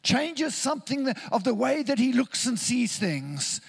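A man from Zimbabwe, preaching a sermon in an earnest, deliberate way with emphatic repetition. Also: very high-pitched, big pitch swings, creaky, breathy edge.

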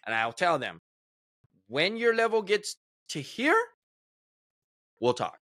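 A man hosts a casual podcast, speaking emphatically and restarting points with repeated words. His voice is bright and swings widely in pitch, recorded close and dry. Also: very animated, slow, no room sound. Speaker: podcast host.

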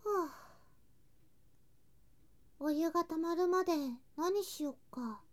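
A woman voicing a sweet, affectionate younger girl cousin in a Japanese ASMR situation drama, in a cutesy, clingy manner with soft whispery touches. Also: very high voice, slow. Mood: playful, pouting.

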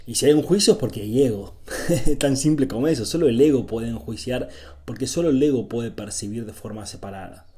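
A man's voice: medium pitch, brisk, warm tone. A man from Argentina with an Argentine accent giving an informal spiritual talk as a teacher, in a didactic way with repeated phrases and short rhetorical check-ins.

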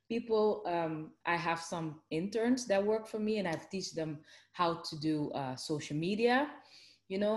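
A woman's voice: on the low side, echoey room. A woman with a Dutch accent, chatting casually in an interview with lots of fillers and restarts. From Netherlands.

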